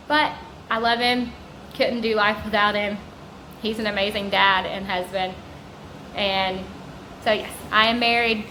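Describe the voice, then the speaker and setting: A woman's voice: fairly high pitch, a little hiss or hum. Chatty Southern woman in an echoey room. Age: 30s. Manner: chatty, rambling, warm asides to viewers. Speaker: vlogger. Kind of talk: casual sit-down chat to camera. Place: vacation home indoors.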